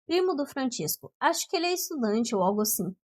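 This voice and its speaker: A woman's voice: sits high, big pitch swings, fast. A Brazilian woman reading the part of a chatty, gossipy male friend in an audiobook, casual in manner. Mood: gossipy, teasing.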